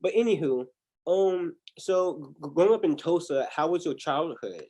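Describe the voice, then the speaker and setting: A man's voice: fairly high pitch, animated, moderate pace, a little mellow. A young man hosting a livestreamed interview on a video call, chatty, often repeating words as he restarts. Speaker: interview host.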